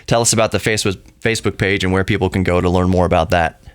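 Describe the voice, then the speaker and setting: A man's voice: deep voice, steady tone, rapid-fire, clear, resonant tone, no echo. An American man from Texas hosting a radio show in a casual, conversational, slightly rambling way. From Texas, United States. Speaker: radio show and podcast host.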